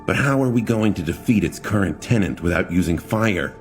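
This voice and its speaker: A man's voice: sits low, expressive, brisk, soft background music. A man voicing a wary young man with a dry, sarcastic way of talking. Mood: skeptical.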